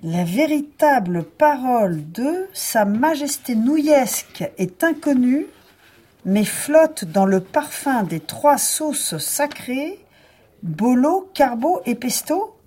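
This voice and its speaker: A woman playing a stern police chief in a naturalistic audio drama, husky-voiced, dry, curt and authoritative. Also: high voice, big pitch swings, slow pace, warm tone, heavily acted. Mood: slow reading aloud.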